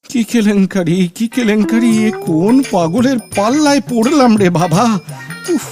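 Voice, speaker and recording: A man plays a timid householder in a comic audio play, exasperated and easily flustered. His voice is high and swings widely as he stammers and repeats himself. Music runs behind him. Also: expressive, normal speed, a little mellow. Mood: alarmed. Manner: stammering, panicky, repeating words. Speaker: actor in audio drama. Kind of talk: comic Bengali audio drama acting.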